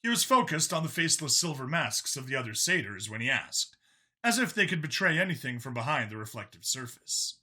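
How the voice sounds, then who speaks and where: A man narrates a science fiction story in a scripted audiobook style. His voice sits at a middling pitch with a very bright, forceful edge. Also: big pitch swings, brisk.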